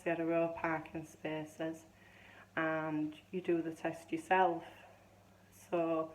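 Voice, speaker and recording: A woman in her fifties chatting casually to camera, rambling and restarting as she tells a story, her voice still a little hoarse. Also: deep voice, darkish tone, rough recording. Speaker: vlogger.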